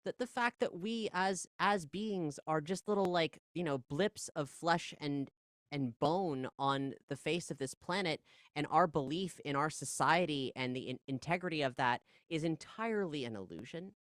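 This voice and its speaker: A podcast guest with a middle-pitched voice, recorded dry and close. The delivery is conversational and discursive, halting over phrases and then landing on key words with emphasis. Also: a bit bright, no room sound. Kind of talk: casual conversational interview, philosophical musing.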